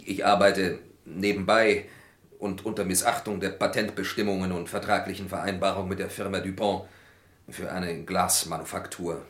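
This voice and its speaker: An actor playing an accused lab worker in a vintage radio crime drama, formal, hesitant and protesting. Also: male voice, sits low, a bit bright. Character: accused male lab worker, defensive and evasive. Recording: roomy old radio play recording.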